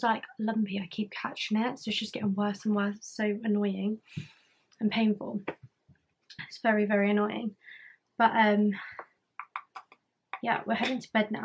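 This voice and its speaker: A woman's voice: mid-range voice, breathy edge. A young woman, chatting to camera at home in a rambling vlog style. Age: young adult. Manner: rambling, chatty, with many ums. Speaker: vlogger. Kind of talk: casual vlog chat to camera. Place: home living room and bedroom, indoors. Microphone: camera at arm's length.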